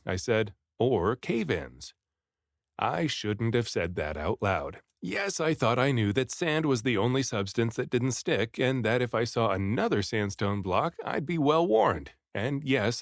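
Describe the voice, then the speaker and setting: A man narrating a first-person adventure story aloud in an expressive, dramatic storytelling manner. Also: mid-range voice, no echo, clean studio sound. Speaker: audiobook reader.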